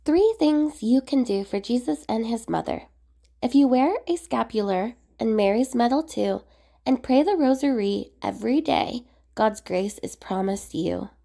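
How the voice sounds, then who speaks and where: A woman reading a rhyming children's picture book aloud in a measured, expressive sing-song way. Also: fairly high pitch, creaky. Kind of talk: storybook read-aloud narration of rhyming verse.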